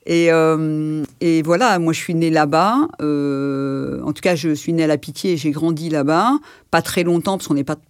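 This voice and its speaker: A woman in her sixties born in France, recalling her life in a personal interview, pausing often with fillers and restarts. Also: low-pitched, fairly even intonation, no echo, clean studio sound. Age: 60s. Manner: hesitant, reflective, many fillers and restarts. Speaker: interview guest.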